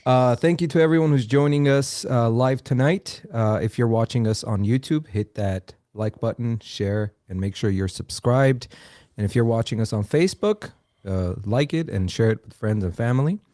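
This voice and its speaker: A man's voice: medium pitch, moderate pace, mellow, dry recording, clean studio sound. A man hosting a live podcast, chatty with many fillers, laughing easily as he introduces a guest. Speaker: podcast host. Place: podcast studio.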